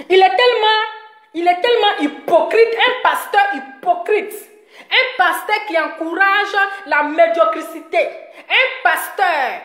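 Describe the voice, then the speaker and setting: A high-voiced woman delivers a rambling, scolding commentary. She mocks her target and laughs often between jabs. Her voice rings in a roomy, echoing space. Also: fairly bright tone. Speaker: online commentator. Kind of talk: ranting commentary video.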